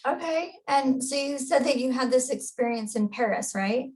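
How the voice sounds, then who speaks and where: A woman hosting a conversational interview, asking gentle, halting questions with fillers. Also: sits high, lively intonation, poor audio. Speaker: hypnotherapist and interview host.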